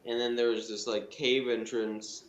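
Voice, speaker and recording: A man's voice: mid-range voice, little pitch movement, moderate pace. A man explaining things casually as a co-host in a review discussion. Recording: roomy, dull sound with faint noise.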